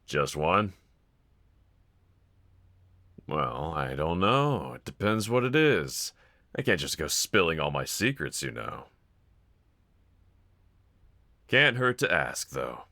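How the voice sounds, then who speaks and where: A man's voice: rumbling low, sharp tone, full character voice. A man playing a brooding young villain roommate in an intimate audio roleplay, confiding hesitantly and wryly to one listener. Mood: wry, teasing. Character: brooding young male villain roommate, self-loathing but softly grateful.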